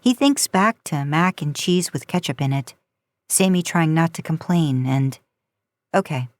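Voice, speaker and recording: Female story narrator for podcast fiction, lower register, close dry recording. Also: darkish tone, dry recording.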